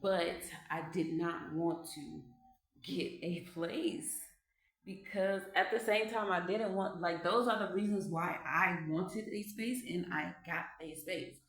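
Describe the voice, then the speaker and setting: A woman in her late twenties talking through her thoughts in a loose, rambling way at home, repeating phrases and laughing softly between ideas. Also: lowish voice, fairly even intonation, takes it slowly. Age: 20s. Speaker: vlogger. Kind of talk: reflective casual monologue. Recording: echoey room with faint ambience.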